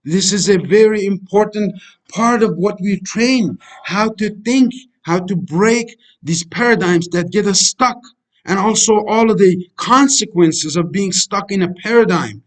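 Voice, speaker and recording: A middle-aged man whose native language is Kurdish, giving a motivational voice-over lecture over slides. His voice sits noticeably high for a man. He preaches in a repetitive way and keeps calling the listeners friends. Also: unhurried. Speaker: life coach.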